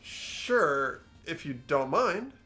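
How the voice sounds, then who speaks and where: A man's voice: a little high, very animated, very slow, bright, forceful tone, soft background music, full character voice. A man voicing an earnest, curious and unsure teenage schoolboy in a fan-voiced mystery game, questioning and thinking aloud. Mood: puzzled, curious. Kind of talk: fan voice-over of a mystery visual novel.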